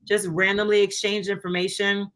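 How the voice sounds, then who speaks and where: Bright, chatty woman author on a call. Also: medium pitch, moderate pace. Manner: chatty, animated, many fillers and acted-out quotes. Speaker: author guest. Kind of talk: casual interview chat.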